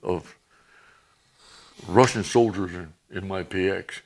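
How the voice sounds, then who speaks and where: A man in his eighties, a veteran guest reminiscing in plain-spoken, halting, folksy talk. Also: sits low, a little mellow. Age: 80s. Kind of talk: reminiscing in a conversation.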